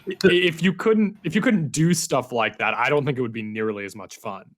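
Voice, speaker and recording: A man speaks as a podcast host in a casual interview. His voice sits at an ordinary middle pitch, and he chats conversationally with his guest. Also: brisk.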